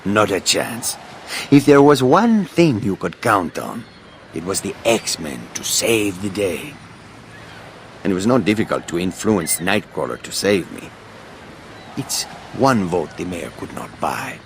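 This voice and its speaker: A power-mad male villain in a battle suit, pompous and sure of himself. His pitch swings widely through grand speeches. A faint hiss of noise sits behind him. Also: big pitch swings, measured pace, exaggerated voice. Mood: scheming. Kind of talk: video game cutscene acting.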